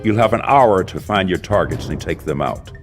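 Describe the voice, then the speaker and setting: Cold male operative, very deep, curt, animated pitch, game cutscene. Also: moderate pace. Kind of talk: video game cutscene acting. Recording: music behind the voice.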